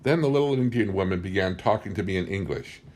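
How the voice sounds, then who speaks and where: Very deep-voiced man reading a story. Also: expressive, fast, a little mellow. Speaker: audiobook reader. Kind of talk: scripted story narration.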